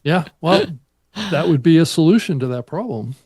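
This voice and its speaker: A man's voice: fairly high pitch, lively intonation, moderate pace, darkish tone, slightly rough, no echo, clean studio sound. A man co-hosting a podcast, offering casual conversational commentary. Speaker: podcast co-host.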